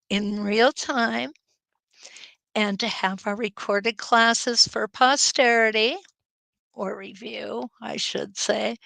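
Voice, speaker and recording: Chatty gravelly Upper Michigan woman. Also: on the high side, bright, forceful tone, no echo. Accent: Upper Peninsula Michigan. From Upper Peninsula of Michigan. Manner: chatty, laughs often, talks straight to viewers. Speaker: quilting teacher and show host. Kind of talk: casual live-stream chat with viewers.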